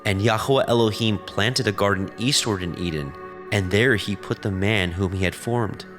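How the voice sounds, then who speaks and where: A man narrating scripture in a steady, reverent reading. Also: on the low side, moderate pace. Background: background music.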